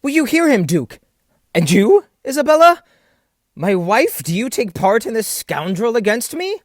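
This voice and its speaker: Male actor for volatile captain in period audio drama; theatrical, indignant, very animated delivery, close dry sound. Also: very high-pitched, measured pace, no echo, heavily acted. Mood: indignant, defiant. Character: volatile, proud, accused sea captain and husband. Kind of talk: stage-play acting in an audio drama.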